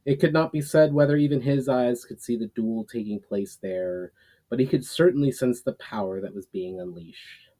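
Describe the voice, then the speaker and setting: A man who is an author reading his own fantasy writing aloud in a storytelling style, a little halting in delivery. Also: medium pitch, dark tone, poor audio. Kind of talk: casual intro, then dramatic storytelling read aloud.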